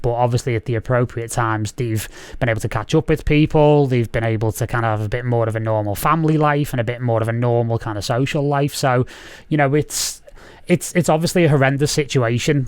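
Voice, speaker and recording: A man's voice: medium pitch, smooth, dry recording. A man in his thirties with a Scouse accent, hosting a casual video-call interview from a room at home and rambling with many fillers. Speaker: podcast host. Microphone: studio mic on boom arm, video call.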